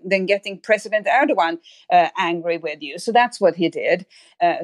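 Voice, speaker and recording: Low-pitched woman giving expert analysis. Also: dry recording. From Sweden. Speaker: expert guest, commentator. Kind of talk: analytical interview answer.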